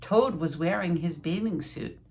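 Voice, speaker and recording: An older woman reading a children's story aloud with asides, deliberate and teacherly like a schoolteacher. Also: lowish voice, creaky, a little mellow.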